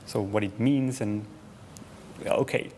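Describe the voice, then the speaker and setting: A man's voice: on the low side, fairly even intonation, slow pace, a little mellow, slight background noise. A man from France with a French accent, presenting to an audience, talking with frequent hesitations, fillers and restarts. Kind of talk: presentation talk.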